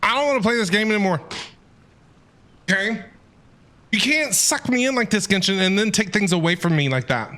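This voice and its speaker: A man reacts live while streaming a game. His voice is high and bright with big swings in pitch, and he talks back to the characters in dramatic, grumbling asides. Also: animated, a little fast, long pauses.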